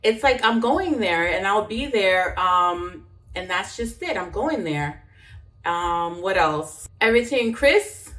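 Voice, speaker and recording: A woman's voice: medium pitch, expressive, takes it slowly, fairly bright tone, recorded from a distance. Chatty woman rambling while she cooks. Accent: New York. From born in Jamaica, raised in Brooklyn, New York. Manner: rambling and chatty, laughs often, talks while chopping. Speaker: cooking vlogger. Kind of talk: casual chat while cooking.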